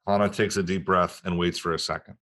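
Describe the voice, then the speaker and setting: A man's voice: deep voice, level tone, brisk, a bit bright. A man narrating screenplay stage directions in a scripted table read.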